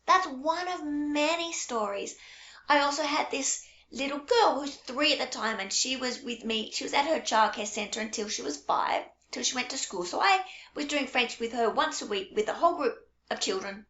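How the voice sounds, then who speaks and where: A middle-aged woman with a high voice talks to camera as a teacher of small children. She tells her stories in a warm, reassuring way. The sound is roomy and a little muffled. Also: animated, normal speed. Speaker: early childhood French teacher. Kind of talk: casual direct-to-camera talk. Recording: echoey room, dull lo-fi sound.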